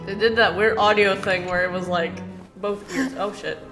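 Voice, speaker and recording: A woman's voice: mid-range voice, steady tone, moderate pace, fairly bright tone, soft background music. A woman streamer giving casual, blunt and emotive reaction commentary on a game, swearing freely.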